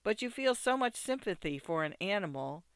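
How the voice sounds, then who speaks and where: A narrator putting on a flustered young husband in a literary tale. The pitch is pushed up and the words are clear, with a feeble, fretful, exasperated pleading. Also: big pitch swings, moderate pace, a bit bright, no echo. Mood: irritated.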